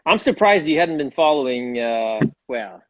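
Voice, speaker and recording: A man's voice: medium pitch, animated, no echo. Russian-speaking man hosting over dull video call. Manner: friendly, halting, frequent restarts and laughs. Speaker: host and English teacher. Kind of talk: casual online conversation.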